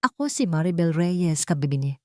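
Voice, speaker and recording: A woman's voice: on the low side, animated, brisk, no echo, close to an everyday voice. Narrator lady as a hurt but defiant young woman, firm and emphatic, audiobook drama, dry sound. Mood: resolute, defiant.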